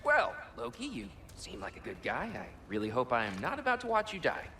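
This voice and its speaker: A man's voice: medium pitch, huge pitch swings, fairly quick, noisy background. A man playing a friendly, awkwardly candid guide in a video game, rambling along in a chatty way. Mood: awkward friendliness. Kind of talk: video game cutscene acting.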